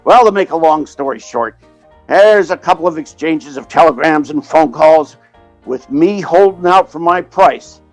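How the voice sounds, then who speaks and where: A man playing an excitable, money-minded theatrical agent in an old-time radio drama, animated and stammering when flustered. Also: fairly high pitch, a little slow, faint music behind, natural voice. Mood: reminiscing. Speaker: narrator and lead character.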